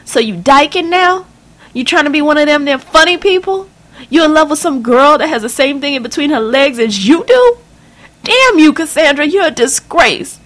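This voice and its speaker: A woman shouting as a furious cursing mother. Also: high-pitched, expressive, moderate pace, pitched above the performer's own voice. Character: enraged cursing mother, middle-aged woman.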